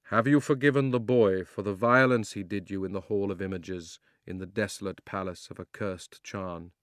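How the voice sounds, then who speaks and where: A majestic talking lion king from a storybook speaks slowly and gravely, as a man reads him aloud. His words are low and commanding, and the recording is dry and close. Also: steady tone, no room sound, lightly acted. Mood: solemn. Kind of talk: storybook reading.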